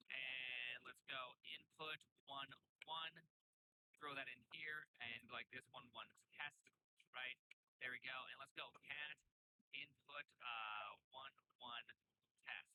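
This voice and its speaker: A man's voice: medium pitch, background noise. A man streaming live, chatting casually and rambling as he talks aloud through each coding step.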